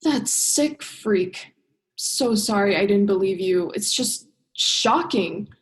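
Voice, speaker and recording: A woman's voice: medium pitch, lively intonation, slow pace. A young woman playing an earnest, exasperated human heroine in a radio play, with a direct, emotional delivery. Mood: disbelieving. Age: young adult. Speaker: drama student actor.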